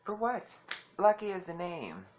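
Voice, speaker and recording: A soft-voiced man vlogging in a chatty, giggly way, calling listeners honey. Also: sits high, sing-song, a little slow, a little hiss or hum. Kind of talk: casual talk to camera.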